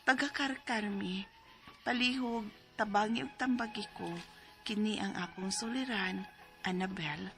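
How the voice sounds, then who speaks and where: A woman's voice: mid-range voice, measured pace, pauses often, natural voice. A woman playing a worried, poor mother in a radio drama, plaintive and sighing, with a Cebuano accent. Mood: worried pleading. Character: worried, poor mother of an ailing college student. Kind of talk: radio drama acting and letter narration. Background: music.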